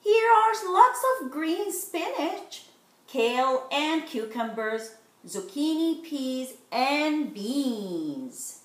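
Middle-aged woman, children's storytelling, extremely unhurried, expressive rhyming delivery, echoey room sound. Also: high-pitched. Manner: expressive, slow and deliberate, stretching rhyming words. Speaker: storyteller reading a picture book to children. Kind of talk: animated children's read-aloud. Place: living room at home, indoors. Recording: roomy home recording.

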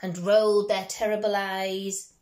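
A woman in her thirties with an English accent reads a picture book aloud to children as a teacher would, with expressive, drawn-out storytelling. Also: medium pitch. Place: small bedroom at home, indoors.

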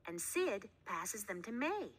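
A woman voicing a patient female schoolteacher in a storybook, instructing clearly and emphatically. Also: mid-range voice, big pitch swings, slow pace. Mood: instructive.

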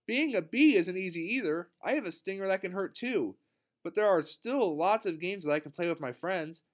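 A man's voice: sits high, lively intonation, normal speed, voice pushed up. A man voicing a frank, friendly young bee classmate in a children's storybook, with a reassuring manner.

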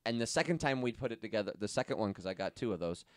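Easygoing thirtysomething male co-host, low-pitched, casual, recorded dry on a boom mic. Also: lively intonation, very fast, darkish tone, no echo. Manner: easygoing, interjects short agreements. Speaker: podcast co-host. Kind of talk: casual hobby podcast chat. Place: small home workshop indoors. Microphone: studio mic on boom arm, close. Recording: dry close podcast mic.

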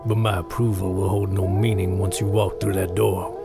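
A man acts out a fatalistic, world-wise convict in an audio drama. His voice is on the low side, wry and philosophical, over clear music. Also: level tone, moderate pace. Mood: sardonic. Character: sardonic, world-wise male inmate, unhurried and fatalistic.

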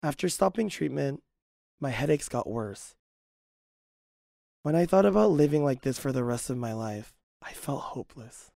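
Male narrator for personal testimony, earnest and measured, gravelly edge, close dry sound. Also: mid-range voice, lively intonation, mellow, dry recording.

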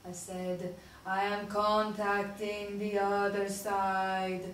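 A woman's voice: medium pitch, monotone, slow pace, fairly bright tone. A woman playing a pompous fake spirit medium who speaks with portentous drama in a comedy sketch. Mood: solemn, portentous. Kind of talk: stage comedy sketch acting. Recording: echoey room, distant mic, faint noise.

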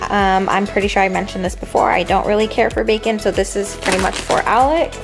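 A woman's voice: medium pitch, fairly even intonation, brisk, over music, rough recording. A young adult woman talking casually and informally, in a slangy, conversational vlog style. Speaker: vlogger showing a grocery haul. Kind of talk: casual chatty vlog voice-over.

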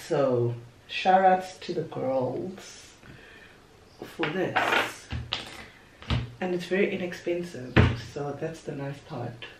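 A woman's voice: low voice, takes it slowly, long pauses, far from the mic, noisy background. A young woman chatting casually through a makeup tutorial at her vanity, playful and talkative. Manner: chatty, playful asides, talks while applying makeup. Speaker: beauty vlogger. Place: bedroom vanity table, indoors. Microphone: camera on the desk, a little away. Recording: roomy echo, voice a bit off-mic.

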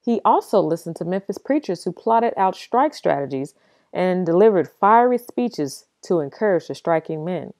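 A woman reading a children's picture book aloud as expressive storybook narration, with dramatic pauses. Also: lowish voice, no echo.

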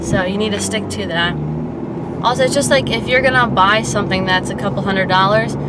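Rambling young woman vlogging in a car. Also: mid-range voice. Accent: slight Baltimore accent. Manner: rambling, upbeat, frequent "like" fillers. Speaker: fitness vlogger. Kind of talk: casual vlog chat. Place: inside a car while driving. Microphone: camera on the dashboard. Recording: loud car road noise. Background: car engine and road noise.

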